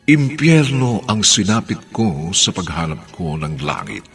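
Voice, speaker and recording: A man announcing a radio drama in a slow, dramatic way. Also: sits low, very animated, husky, soft background music.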